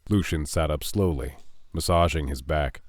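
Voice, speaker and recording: Low-voiced man narrating a dramatic story. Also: moderate pace, no echo, clean studio sound.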